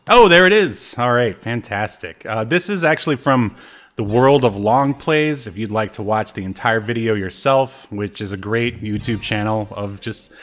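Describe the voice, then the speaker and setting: A man's voice: on the low side, a bit bright. A man co-hosting a podcast, talking in a casual, easygoing and joking way. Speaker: podcast co-host. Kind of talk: casual chat reviewing old games.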